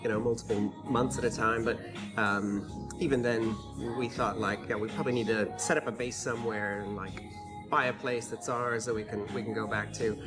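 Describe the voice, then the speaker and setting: A man's voice: on the low side, fairly even intonation, moderate pace, music in the background. A man from California with an American accent, chatting casually as an interview guest in a hesitant, understated way. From California, United States. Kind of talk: casual chat.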